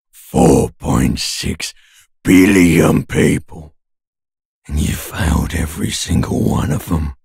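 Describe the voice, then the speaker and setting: A man voicing an ancient reptilian brain in a video game, a menacing, mocking inner voice with a raspy growl and a drawn-out, taunting delivery. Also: rumbling low, darkish tone, no room sound, studio quality, heavily acted. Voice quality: extremely gravelly, raspy growl. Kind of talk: dramatic video game voice acting.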